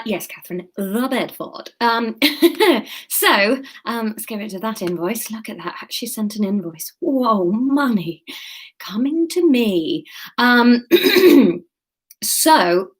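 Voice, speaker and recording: A woman from the UK talks casually to her livestream audience, hopping from topic to topic. She pokes fun at herself and laughs easily. When she turns to her book, she reads the narration in the same relaxed, unpolished voice. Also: fairly high pitch, slow pace, husky. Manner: chatty, rambling, self-mocking, laughs often. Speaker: comedian and author reading her story on a livestream. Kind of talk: casual livestream chat and reading aloud.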